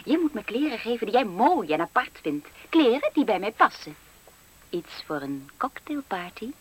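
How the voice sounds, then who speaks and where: An actress playing a bossy, scheming young maidservant in a brisk, theatrical 1970s Dutch radio fairy tale. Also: female voice, on the high side, sing-song, husky. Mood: demanding, coaxing. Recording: vintage radio recording with background noise.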